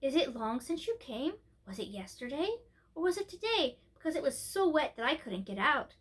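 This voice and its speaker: Woman as a high-voiced, curious little princess. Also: big pitch swings, moderate pace, a bit bright. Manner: eager, questioning, childlike. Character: curious, chatty, outspoken little princess girl.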